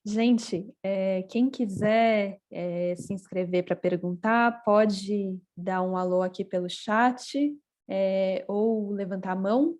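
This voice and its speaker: A woman's voice: medium pitch, slow, hardly any pauses, a little mellow. A woman moderating an online discussion over a video call, with lots of hesitant fillers.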